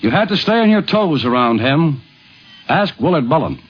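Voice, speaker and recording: A man's voice: a little high, big pitch swings, moderate pace, slight background noise. A man with an American accent narrating a scripted 1950s radio tribute as its host. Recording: muffled vintage 1950s radio recording.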